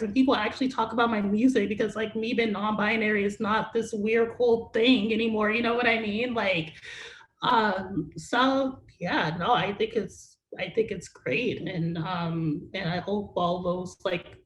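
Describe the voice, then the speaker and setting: A young adult singer with a naturally high-pitched voice, talking casually in an interview and filling every pause with "like" and "you know what I mean". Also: fairly even intonation. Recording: echoey room, faint noise.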